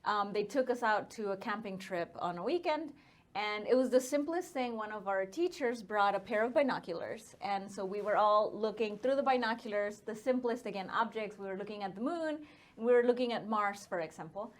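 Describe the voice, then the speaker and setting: A woman's voice: mid-range voice, expressive, fairly quick. A woman in her thirties from northern Mexico, with a Mexican accent, talking casually as an interview guest with frequent fillers. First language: Spanish. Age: 30s. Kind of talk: casual conversational storytelling.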